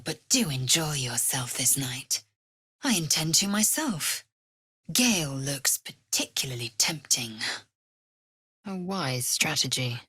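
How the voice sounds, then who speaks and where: An actress voicing a fierce, proud githyanki warrior woman in a video game, husky and breathy, with a clipped, imperious, disdainful delivery. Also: low-pitched, fairly bright tone, no echo, clean studio sound, full character voice. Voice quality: husky, raspy, breathy edge. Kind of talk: video game character voice acting.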